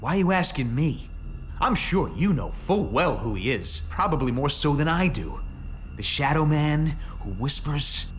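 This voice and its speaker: Paranoid middle-aged man, wildly swinging pitch. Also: big pitch swings, measured pace, soft background music. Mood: suspicious, ominous. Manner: confrontational, swearing, suspicious. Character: paranoid, hostile, foul-mouthed forty-five-year-old homeowner, male. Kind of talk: audio drama acting.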